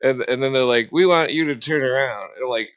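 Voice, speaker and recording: A man's voice: medium pitch, brisk, a bit bright, clean tone. A man chatting casually on a livestream, telling anecdotes in a rambling way with repeated phrases. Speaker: livestream talker. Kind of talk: casual chat.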